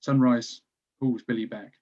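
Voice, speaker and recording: A man's voice: mid-range voice, steady tone, measured pace, a little mellow, lo-fi sound. A man reading stage directions as scripted narration for a screenplay table read.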